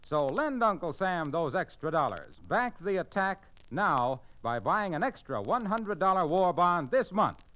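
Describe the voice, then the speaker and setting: High-pitched, emphatic 1940s radio announcer man. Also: very animated, measured pace. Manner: emphatic, urgent, declamatory. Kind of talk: 1940s radio announcing, scripted war bond appeal. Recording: old 1940s radio transcription with music.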